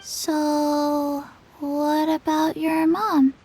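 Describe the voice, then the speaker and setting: A woman voicing a small, sincere little girl in a video game, halting and deadpan. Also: sits high, a little hiss or hum, rough recording. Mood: blank, curious.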